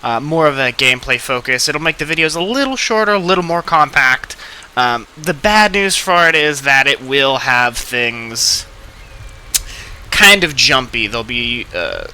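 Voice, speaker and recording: Very bright-voiced man commentating on gameplay. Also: medium pitch, lively intonation, measured pace, a little hiss or hum. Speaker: let's play host.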